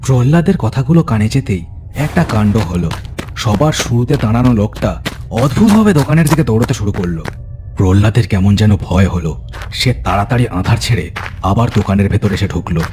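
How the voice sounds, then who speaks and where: A man's voice: on the low side, animated, brisk, over music. A man narrating a horror story in a dramatic, scripted storytelling style.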